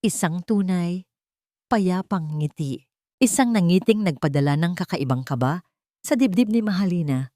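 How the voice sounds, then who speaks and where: Animated, lowish-voiced woman storyteller. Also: normal speed, no room sound, clean studio sound. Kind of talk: dramatic scripted story narration.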